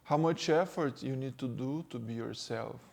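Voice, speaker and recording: A man from Brazil with a Brazilian Portuguese accent, speaking English as a second language and giving a calm spiritual talk as a teacher, slow and gentle with pauses. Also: mid-range voice, creaky. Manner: slow, gentle, with pauses and non-native phrasing. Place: sitting indoors.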